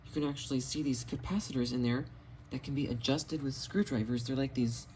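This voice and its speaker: Mellow-voiced man in a casual vlog. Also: medium pitch, steady tone, fairly quick. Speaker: radio collector presenting finds. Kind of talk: casual show-and-tell vlog. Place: home basement. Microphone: cell phone. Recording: audible background noise, phone recording.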